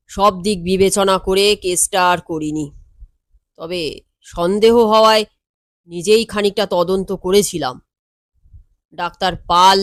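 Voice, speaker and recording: A woman voicing a composed, world-weary male lawyer in an audio story, speaking in a measured, matter-of-fact and wry way. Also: mid-range voice, dry recording, natural voice. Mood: grave.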